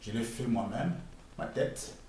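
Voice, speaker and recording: Middle-aged Congolese man, French with Congolese accent, scolding vlogger, mid-pitched, mic distant, heavy echo. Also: animated, a little mellow, a little hiss or hum. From Democratic Republic of the Congo. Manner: emphatic, scolding, halting with frequent pauses. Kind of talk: casual spoken commentary. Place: outdoors near a construction site. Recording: echoey and distant with faint ambience.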